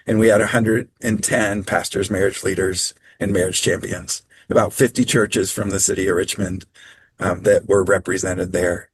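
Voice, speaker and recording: A man with a fairly low voice walks fellow leaders through practical steps on a video call. His delivery is measured and plain, dotted with ums, and the sound is close and dry. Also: little pitch movement, husky, no room sound, poor audio. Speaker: training presenter. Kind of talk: online training talk.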